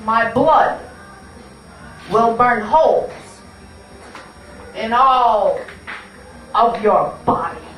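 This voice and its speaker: Woman playing a tough, slow-talking gangster dame, echoey room. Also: fairly high pitch, lively intonation, fairly bright tone, faint music behind, heavily acted. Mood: menacing. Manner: coarse, defiant slangy drawn-out talk. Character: tough, scornful, unrepentant female gang boss puppet. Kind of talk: live puppet show character acting. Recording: echoey room from far off, faint noise.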